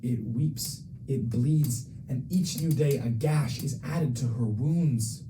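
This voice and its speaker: Young guy doing a wary exiled prince in shakespeare, formal verse, really mellow relaxed tone, homemade recording w faint hiss. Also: a little high, rough recording. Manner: formal, measured recitation of archaic English. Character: guarded, self-doubting young exiled Scottish prince. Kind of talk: student dramatization of Shakespeare, recited verse.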